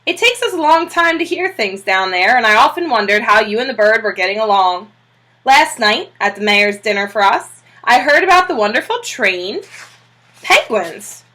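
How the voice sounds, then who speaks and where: Woman acting a hearty explorer admiral, bright and lively. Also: a little high. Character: Admiral Drake, great bearded hearty explorer man.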